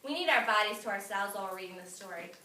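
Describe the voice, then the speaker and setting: A woman teacher reading a picture book aloud to young children, expressive and emphatic, pausing to instruct the class. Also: mid-range voice. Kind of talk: animated classroom read-aloud. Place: echoey indoor classroom. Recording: echoey room, distant mic, noisy, clicks.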